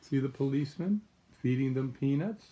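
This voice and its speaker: A man in his sixties reading a children's storybook aloud like a grandfather, pausing to explain the pictures to small children. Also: medium pitch, lively intonation, dark tone, rough recording. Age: 60s. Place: small room at home, indoors.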